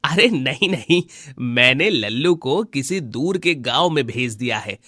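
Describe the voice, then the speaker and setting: A man's voice: medium pitch, expressive, a little slow, sharp tone, dry recording, studio quality. A man voicing a scheming, jealous village husband in a cartoon moral story, with exaggerated delivery. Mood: gloating. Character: scheming, jealous village husband, gloating over a neighbour's misery. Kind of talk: Hindi animated moral story dialogue.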